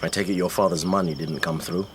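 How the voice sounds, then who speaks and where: A man's voice: sits low, steady tone, fast talker, noisy background, natural voice. An actor playing a brusque, grieving middle-aged father in a radio drama. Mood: stubborn, grieving. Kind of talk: naturalistic radio drama acting.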